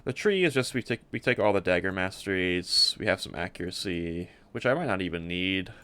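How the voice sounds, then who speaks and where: A man presenting a game build in a casual, unscripted way, rambling and halting as he often repeats words. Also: sits low, lively intonation, sharp tone, smooth.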